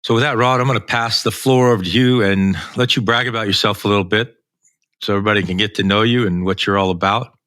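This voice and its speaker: Deep, bright-voiced male podcast host. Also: steady tone, fast, dry recording. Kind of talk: podcast hosting, introduction.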